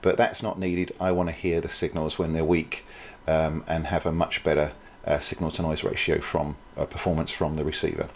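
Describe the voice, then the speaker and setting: A man's voice: rumbling low, little pitch movement, moderate pace, slight background noise. A man presenting an informal product demonstration, explaining things in a hesitant, thinking-aloud way.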